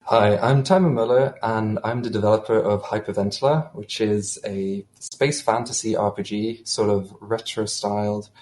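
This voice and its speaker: A man with a low voice gives short, polite answers as a guest. He speaks plainly about his work. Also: fairly even intonation, normal speed, hardly any pauses, darkish tone. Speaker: game developer guest. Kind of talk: casual interview answers.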